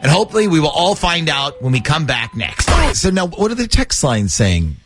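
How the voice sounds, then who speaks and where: Male radio host, higher-pitched voice, lively intonation, jokey chatty banter, music underneath. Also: moderate pace. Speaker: morning radio show host. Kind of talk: radio talk banter and prank call.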